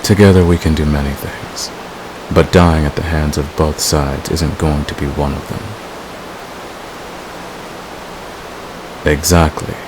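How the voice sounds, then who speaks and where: Guy doing a super deep gravelly villain boyfriend, intense and manipulative, romantic asmr roleplay, hissy recording. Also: steady tone. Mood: somber, intimate. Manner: intense, deliberate, possessive. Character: devoted, manipulative villain, a secret lover. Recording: clear background hiss.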